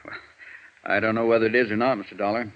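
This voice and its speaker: An American actor playing a tired, amiable middle-aged lawyer with a rambling, folksy manner in a vintage radio drama. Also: male voice, fairly low pitch, level tone, fast talker, natural voice. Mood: wry, idle. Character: tired, seedy, affable male lawyer about forty. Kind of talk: 1950s radio acting. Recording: muffled vintage radio recording.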